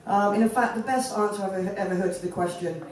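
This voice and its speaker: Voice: medium pitch, fairly even intonation, fast talker, a little mellow, a little hiss or hum. A spoken word poet in their thirties, born in Fiji and raised in Jamaica, performing poems at a stand mic in a festival tent with rhythmic, emphatic delivery. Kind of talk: performance poetry on stage. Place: festival tent stage, outdoors. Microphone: stand microphone, filmed from the audience. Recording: echoey, from far off.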